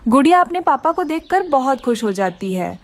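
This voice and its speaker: A woman's voice: high voice, big pitch swings, normal speed. A woman narrating a scripted children's story.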